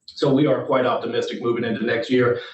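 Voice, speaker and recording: A man, a company executive, explaining business points in a measured way during an investor interview. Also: mid-range voice, fairly even intonation, lo-fi sound. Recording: distant echoey call audio.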